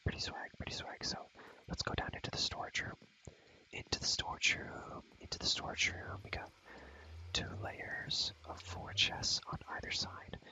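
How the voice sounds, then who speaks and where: A man whispers breathily, with a sharp, very bright hiss to every word. He rambles casually through a video game tour in slangy ASMR style, often repeating short phrases. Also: rough recording. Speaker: ASMR creator showing his game world.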